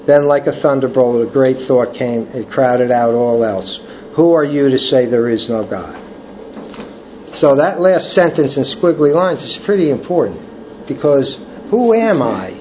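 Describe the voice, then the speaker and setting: An older man leading a recovery study group, reading from the book and sharing personal testimony in a halting, earnest way with many restarts. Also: medium pitch, a little mellow, a little hiss or hum, poor audio. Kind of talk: casual talk reading from a book.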